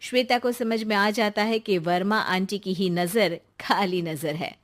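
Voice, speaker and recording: A woman's voice: mid-range voice, a little slow. A woman reading scripted narration for a story.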